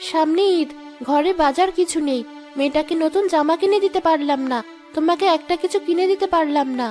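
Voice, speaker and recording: A woman's voice: high voice, fairly quick, darkish tone, soft background music, lightly acted. A woman voicing a poor, anxious housemaid and mother in a cartoon drama, plaintive and pleading. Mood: sorrowful.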